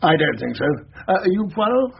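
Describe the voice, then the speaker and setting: An actor playing a methodical English police colonel in a radio drama, formal, measured and politely official. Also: male voice, high voice, sing-song, creaky, full character voice. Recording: dull radio recording with faint noise.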